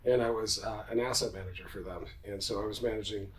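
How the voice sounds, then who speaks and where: A man's voice: fairly low pitch, steady tone, fast, low-quality recording. A middle-aged man giving casual, self-assured, informal answers as a podcast guest, with some room noise behind him. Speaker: podcast guest, commercial real estate entrepreneur. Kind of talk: casual interview talk.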